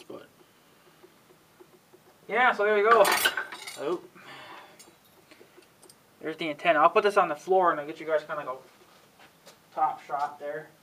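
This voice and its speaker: A man's voice: on the high side, pushed and bright. A man giving casual, offhand DIY vlog commentary, thinking aloud in a folksy way as he works. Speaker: amateur DIY video maker.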